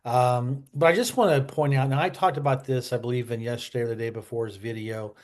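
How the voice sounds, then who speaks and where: A man's voice: medium pitch, steady tone, fairly quick. A man giving casual history commentary as a vlog host, colloquial and opinionated, often wandering into asides.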